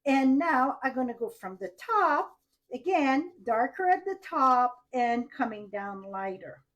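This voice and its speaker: A woman's voice: sits high, animated, a little slow. A woman with a French Canadian accent, whose first language is French, chatting her way through a live card-making tutorial and asking viewers questions as she works. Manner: chatty, thinks aloud, asks viewers directly.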